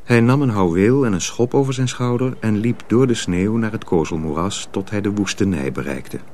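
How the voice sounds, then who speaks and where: A man's voice: fairly low pitch, moderate pace, a little mellow, a little hiss or hum. A man narrating a radio drama as a storyteller, reading a dramatic script.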